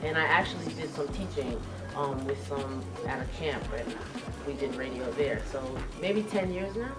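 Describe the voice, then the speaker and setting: A young woman with a deep-set voice speaks conversationally to young students, encouraging and emphatic. She sits a little away from the microphone in a room, with music clearly audible behind her. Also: moderate pace. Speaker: radio host being interviewed. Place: indoors in a room. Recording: distant mic, music behind.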